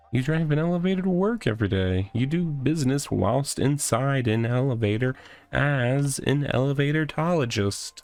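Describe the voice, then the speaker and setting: A man's voice: medium pitch, darkish tone, natural voice. A man voicing an odd, rambling guy who lives in a box in a comic visual novel, talking absurd nonsense matter-of-factly.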